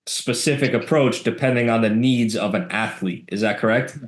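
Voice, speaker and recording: A man's voice: medium pitch, normal speed. Male podcast host in an echoey room. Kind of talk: podcast hosting, introductions and questions. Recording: roomy, echoing sound.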